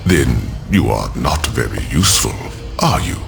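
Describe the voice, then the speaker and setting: An actor playing a coldly polite, menacing crime boss in a video game, speaking formally and deliberately. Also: male voice, very deep voice, big pitch swings, creaky, faint music behind, heavily acted. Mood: cold menace. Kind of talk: video game cutscene acting.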